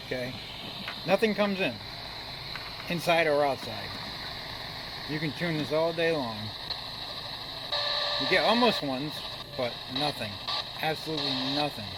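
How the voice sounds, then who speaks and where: A slightly high-voiced man gives a casual, off-the-cuff product review. He is blunt and dismissive, grumbling as he goes. Loud noise runs behind his voice.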